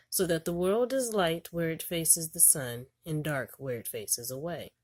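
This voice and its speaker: Low-pitched woman reading a children's book aloud. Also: a bit bright, no room sound. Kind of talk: read-aloud narration of a children's educational book.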